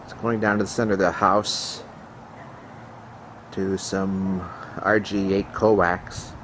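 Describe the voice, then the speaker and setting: A man giving a casual, hands-on video walkthrough as a hobbyist, explaining technical gear in a matter-of-fact, halting way into a handheld camera. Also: deep voice, level tone, fairly bright tone. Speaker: ham radio hobbyist showing his equipment. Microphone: handheld camera microphone. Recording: clearly noisy handheld camera recording.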